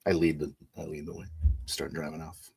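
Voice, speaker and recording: A man's voice: very deep voice, monotone, a little fast, gravelly, a little mellow, a little hiss or hum. A man improvising first-person narration as a player in a tabletop roleplaying game.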